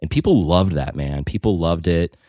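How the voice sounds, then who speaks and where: Low-voiced podcast host guy, rambly and animated, says like a lot. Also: moderate pace, no echo. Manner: rambling, animated storytelling with many "like"s. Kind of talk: casual podcast chat.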